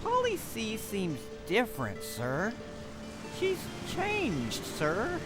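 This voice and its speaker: A man's voice: sits high, huge pitch swings, very slow, over music, heavily acted. A man playing an earnest, dim, dutiful pirate first mate, deferential and theatrical, in a comedy skit. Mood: puzzled.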